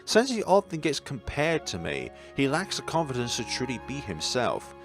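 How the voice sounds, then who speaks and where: A man voicing a gentle, proud mother who reminisces wistfully about her son in a visual novel. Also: mid-range voice, huge pitch swings, moderate pace, music in the background. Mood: fond.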